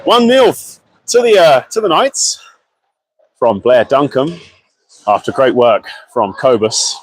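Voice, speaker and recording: A British man with a croaky voice from a cold, chatting and then commentating live on an amateur ice hockey game from a small media box. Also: medium pitch, takes it slowly, slight background noise, rough recording. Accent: British English. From Britain. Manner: chatty and apologetic, excitable on goals.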